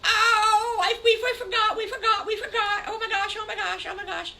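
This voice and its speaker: A woman voicing excited little boy piglets who squeal and repeat themselves in a storybook tale. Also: very high voice, moderate pace, distant mic, voice pushed up, heavily acted. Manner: squealing, repeating words in a rush.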